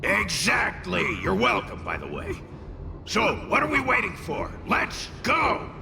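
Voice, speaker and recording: Cocky taunting spirit for a game, highish gravelly voice, wildly swooping, sarcastic. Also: male voice, wildly swooping pitch, measured pace, shouting, heavily acted. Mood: smug, rousing. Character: cocky, mocking male spirit, loud and brash. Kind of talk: video game voice acting. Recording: game music behind the voice.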